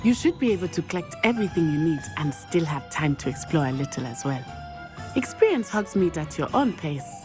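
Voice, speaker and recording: Friendly, eager teen girl classmate for a fantasy game, lowish for a female voice, very expressive. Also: brisk, over music. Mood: helpful, keen. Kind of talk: video game character acting.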